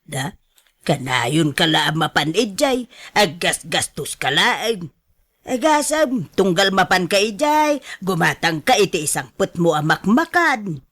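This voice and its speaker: Woman acting as a naggy mom in a radio drama, blunt, complains a lot, drags her words out slow. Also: on the low side, very animated, fairly bright tone, exaggerated voice. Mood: nagging. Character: blunt, disapproving mother of a grown son.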